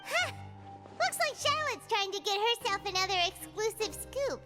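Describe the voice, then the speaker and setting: An actress playing a small, chirpy, curious childlike companion in a video game, talking in a casual, wondering way. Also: female voice, very high voice, animated, normal speed, pushed and bright, soft background music, full character voice.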